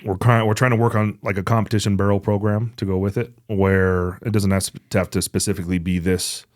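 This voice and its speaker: Man, deep voice, podcast host, casual gear talk, blunt, profane, joking, dry close sound. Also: little pitch movement, fairly quick, no echo. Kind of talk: casual chat about hunting gear.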